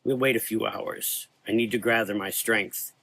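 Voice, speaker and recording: Man as frail old male hunter, gothic audio drama, weary, restrained, solemn, quiet menace. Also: lowish voice, fairly even intonation, normal speed, slight background noise, poor audio. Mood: grim.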